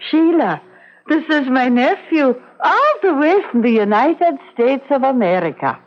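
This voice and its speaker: An actress playing a warm, bustling older Irish farm aunt in a radio drama, chatty and with an Irish accent. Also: female voice, high-pitched, lively intonation, unhurried. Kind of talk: old-time radio drama acting. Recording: muffled old radio recording.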